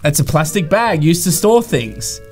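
An Australian man in his thirties co-hosting a comedy unboxing show with sweary, skeptical, excitable banter. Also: on the high side, moderate pace, soft background music. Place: small dark curtained studio.

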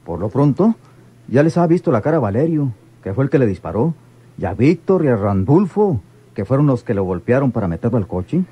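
An actor playing a wary, deferential gang henchman with a husky voice in a Mexican radio serial. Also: mid-range voice, very animated, brisk, warm tone. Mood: wary, explaining.

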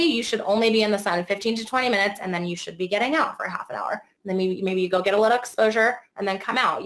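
A woman in her thirties with a slightly hoarse voice that is starting to give out. She talks in a casual, chatty way with plenty of fillers. She is heard through a webcam from an indoor room. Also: medium pitch, a bit bright. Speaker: herbalist and esthetician guest. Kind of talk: webinar presentation.

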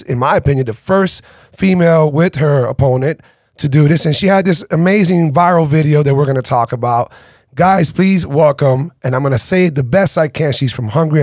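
Excited male podcast host, dry studio. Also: a little high, dry recording. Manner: energetic, enthusiastic, chatty. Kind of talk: casual interview. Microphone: boom-arm studio mic with headphones.

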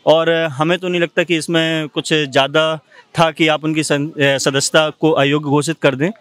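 A young man from Madhya Pradesh giving his opinion in a night-time outdoor street interview, talking formally with many pauses. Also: fairly high pitch, level tone, fairly bright tone, smooth, no room sound. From Madhya Pradesh, India. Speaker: interviewee, exam aspirant. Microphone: handheld mic.

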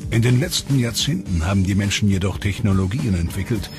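A man narrating a science documentary in a measured, ominous scripted voice-over. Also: on the low side, lively intonation. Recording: studio voice-over over loud music. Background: music.

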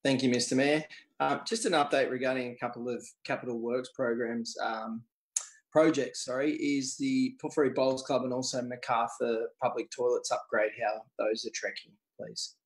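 A man's voice: mid-range voice, fairly even intonation, moderate pace, poor audio. A man with an Australian accent making formal remarks as a councillor at a local meeting.

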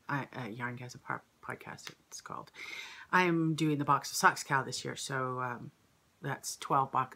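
A woman in her fifties with a croaky throat, chatting at home to the camera as host of a knitting podcast, rambling with many fillers. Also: very deep voice, fairly bright tone. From Canada. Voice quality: slightly croaky. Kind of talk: casual chat to camera. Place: kitchen at home, indoors.

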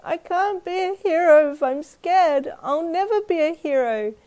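Woman acting as small boy, storybook character, very high pitch, childlike, plaintive, sensitive, guilt-ridden. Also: animated, measured pace, warm tone. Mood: bawling, despairing. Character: scared, guilt-ridden little boy, sensitive and self-blaming.

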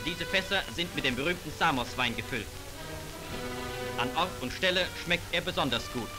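A man's voice: a little high, lively intonation, moderate pace, low-quality recording. A man narrating a wartime propaganda newsreel in a formal, declamatory manner, on an old 1940s film soundtrack. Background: loud music, war sound effects.

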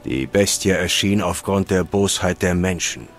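An actor playing a cool, gruff witcher in a dubbed video game. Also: deep voice, unhurried, soft background music. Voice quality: flat, cool. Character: gruff grown male monster-hunting witcher.

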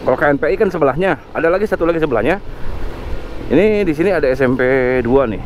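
A man's voice: fairly high pitch, animated, quick pace, poor audio. Chatty man narrating from a scooter. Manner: chatty, thinks aloud, frequent fillers. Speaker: motovlog host. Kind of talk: casual narration while riding. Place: outdoors on a motor scooter in town traffic. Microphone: helmet or body camera mic. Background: faint traffic and engine noise.

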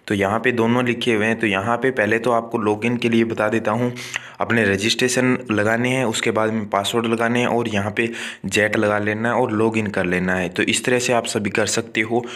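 Flat-voiced tutor man. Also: lowish voice, moderate pace. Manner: addresses viewers as guys, repeats and restates numbers with frequent "yaani ki". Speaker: online tutor for agriculture exams. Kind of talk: screen-recorded walkthrough. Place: indoors, voice-over on a phone screen recording.

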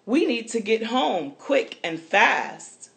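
A man reading a storybook aloud, voicing a busy, warm market mother who exclaims loudly and then dotes fondly. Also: on the high side, sing-song, slow, gravelly, voice pushed up, heavily acted. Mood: alarmed.

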